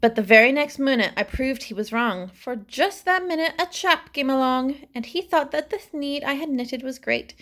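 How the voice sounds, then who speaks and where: A woman reading a rhyming children's story aloud with expressive, dramatic phrasing. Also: sits high, normal speed, few pauses. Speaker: storybook reader.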